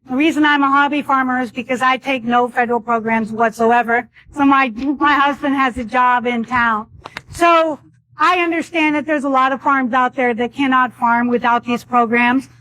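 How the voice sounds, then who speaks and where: A woman's voice: high voice, steady tone, moderate pace, pushed and bright, low-quality recording. A woman speaking at a public meeting, earnestly advising the audience.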